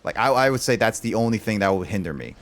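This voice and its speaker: A man's voice: fairly low pitch, animated, fast. A man chatting casually on a livestream, rambling and filling his talk with "like". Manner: rambling, loaded with "like" fillers.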